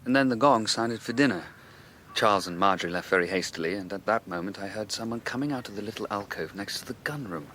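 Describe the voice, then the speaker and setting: An actor playing an eager, good-natured British gentleman amateur sleuth in a radio drama, earnest and easily flustered. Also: lowish voice, fast talker, background noise, natural voice. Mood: narrating.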